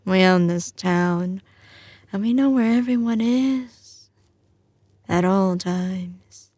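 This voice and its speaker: A woman's voice: medium pitch, slow, a little mellow, no room sound. A woman with a slight Southern US drawl playing a doting but ruthless mafia boss girlfriend in an intimate ASMR roleplay. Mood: contemptuous, confiding. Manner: drawling, teasing, sliding between commanding and tender. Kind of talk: ASMR audio roleplay, intimate scripted acting.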